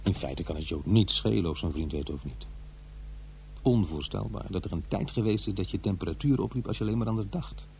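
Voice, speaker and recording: Low-voiced, aggrieved middle-aged father. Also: brisk, vocal fry, a little mellow, a little hiss or hum. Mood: awkward, wistful. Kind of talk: radio play acting, naturalistic dialogue and narration.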